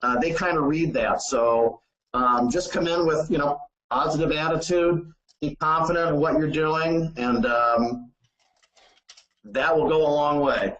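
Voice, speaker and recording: A man giving informal sales training in a halting, conversational way, often saying "you know". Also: on the high side, steady tone, a bit bright, highs cut off. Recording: roomy, echoey sound.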